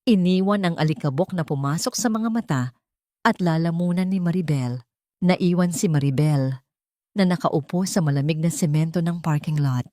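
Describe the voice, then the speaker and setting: A woman with a Filipino accent narrates a story in a dramatic, scripted style. Her voice sits somewhat low for a woman. The recording is dry, with very little room sound. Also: normal speed, dark tone, dry recording. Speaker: narrator of audio drama stories.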